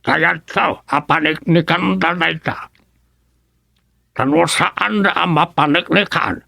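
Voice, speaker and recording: A man with an Ilocano Filipino accent playing an aggrieved, gravelly villager in a radio comedy drama. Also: fairly high pitch, slow pace, bright, forceful tone, dry recording, studio quality, heavily acted.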